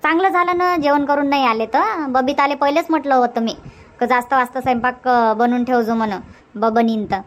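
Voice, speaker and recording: Cartoon village granny played by a woman: very high voice, Varhadi Marathi accent, hospitable, bossy, chatty and repetitive. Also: fairly even intonation, a little fast, natural voice. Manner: chatty, insistent, repeats herself. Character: hospitable, bossy village grandmother in a family comedy. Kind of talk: cartoon comedy dubbing.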